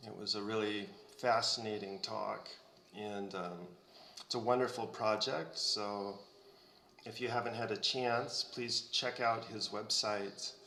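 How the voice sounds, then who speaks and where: Slow, gravelly man in an echoey room. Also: lowish voice, steady tone, sharp tone, slight background noise. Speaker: host of the Sunday talk. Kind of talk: introducing the guest speaker.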